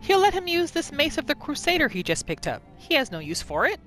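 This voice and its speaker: A woman's voice: sits high, big pitch swings, fairly quick, fairly bright tone, soft background music. A woman gaming host casually narrating her roleplay playthrough in the third person, as a voice-over.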